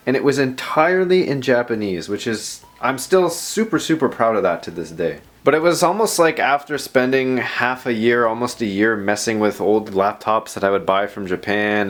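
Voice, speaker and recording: A man narrating a personal vlog in an informal, unscripted, self-deprecating way, rambling as he goes. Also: mid-range voice. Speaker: video host.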